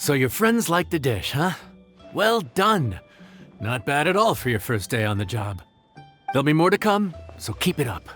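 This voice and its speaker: A man's voice: on the high side, very animated, normal speed, a bit bright. A man voicing a friendly, encouraging, chatty restaurant worker in an anime game. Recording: music behind the voice.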